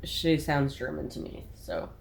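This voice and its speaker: A woman co-hosting a casual reaction chat, chatty and quick to laugh, often trailing off mid-thought. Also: deep voice, very animated, creaky. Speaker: reaction channel co-host.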